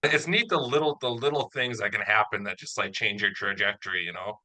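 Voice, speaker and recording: A man's voice: on the low side, fairly quick, pushed and bright, poor audio. A man interviewing a guest in an informal, complimentary way, talking as a writer and producer. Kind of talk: casual interview chat.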